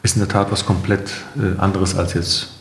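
A man's voice: low-pitched, flat delivery, normal speed, roomy sound. A middle-aged man giving conversational answers as a company founder in an interview.

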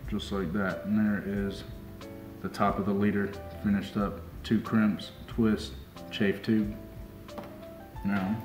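A young man working as a fishing deckhand calmly walks through a hands-on demonstration step by step, often saying "just like so" as he works. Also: fairly low pitch. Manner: calm, unhurried, repeats "just like so" while working with his hands. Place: small indoor tackle shop workbench. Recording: roomy sound with music underneath.

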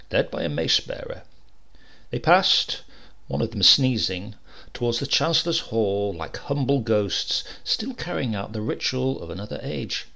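A man's voice: medium pitch, gravelly. A man narrating a comic short story in a dry, wry storytelling manner. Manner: dry, wry storytelling with lively voiced dialogue.

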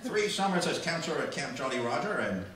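A man's voice: on the high side, big pitch swings, quick pace, a crowd in the background. Man playing a hearty, drunken pirate captain, echoey room. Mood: boastful, jokey. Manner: hearty pirate talk with growled arrs. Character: drunken, boastful, silly old-time pirate captain. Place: small library room before a live audience. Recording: echoey room, far from the mic.

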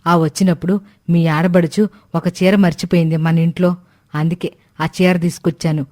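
Cartoon mother of a grown daughter woman performer: plain-spoken, brisk, brushes things aside. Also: on the low side, level tone, a little mellow, natural voice. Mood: matter-of-fact. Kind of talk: animated moral-story voice acting.

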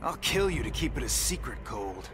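A man's voice: big pitch swings, normal speed, natural voice. A man playing a vengeful, cocky speedster supervillain from the future, taunting and theatrical. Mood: cold threatening. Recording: loud game music and rumble behind.

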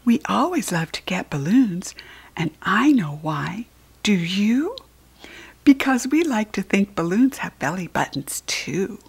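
Children's story reader: American woman, breathy, unhurried delivery with a lively swooping pitch. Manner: expressive, addressing small children. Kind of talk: read-aloud storytelling for young children.

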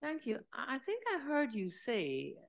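Hesitant Scottish woman, gravelly voice. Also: on the high side, big pitch swings, unhurried. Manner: hesitant, restarting phrases. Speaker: audience member. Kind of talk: asking a question.

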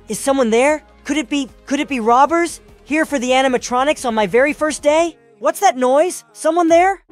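A woman's voice: a little high, natural voice. A woman voicing a shy, stammering young male night guard, over music. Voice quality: stammering, nervous. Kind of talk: comic dub acting.

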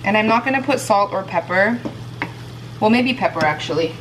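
A woman narrates her meal prep in a chatty, friendly way, explaining each step for viewers. Her voice sits at a middle pitch for a woman. She is recorded on the camera mic in a home kitchen. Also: lively intonation, normal speed, noisy background. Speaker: cooking vlogger.

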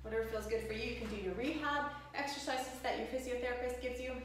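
Young female fitness instructor, breezy and encouraging, slightly high-pitched, camera mic set back in a reverberant home gym. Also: fast talker, background noise. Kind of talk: instructional workout voice-over and product demo. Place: home gym room with bare walls. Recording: echoey room, voice from a distance.

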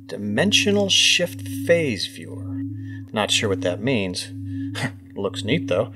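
A man's voice: fairly low pitch, very animated, slow pace, loud music behind the voice. A man acting in an audio drama as an earnest, puzzled government investigator.

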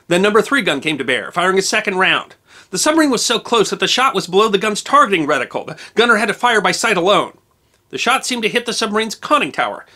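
A man narrating a scripted history documentary, measured and precise with dates and figures. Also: high voice, sharp tone.

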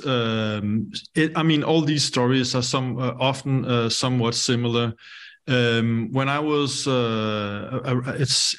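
A man in his thirties from Denmark with a Danish accent, telling his story as a guest on a video call, halting with many fillers and restarts. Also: mid-range voice, a bit bright. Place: bright home room.

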